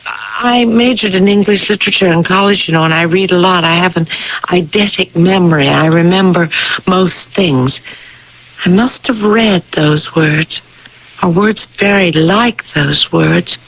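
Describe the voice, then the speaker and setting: An actress playing a thoughtful, bookish young woman, speaking with deliberate dramatic phrasing in a radio mystery play. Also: fairly low pitch. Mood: thoughtful, concerned. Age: young adult. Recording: dull vintage radio broadcast.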